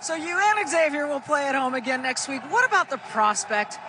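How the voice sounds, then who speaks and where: A woman's voice: high voice, sing-song, a little fast, background noise. A female sideline reporter asking direct, upbeat questions on an outdoor field at night. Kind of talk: post-game field interview.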